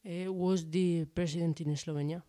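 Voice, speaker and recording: A woman's voice: on the low side, steady tone, moderate pace, dark tone. A woman student interviewer asking prepared questions in tentative non-native English.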